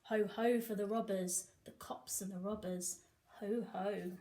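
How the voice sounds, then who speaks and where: A woman's voice: medium pitch, fairly even intonation, unhurried, background noise. A woman reading a picture book expressively to children, emphatic and sing-song on rhymes.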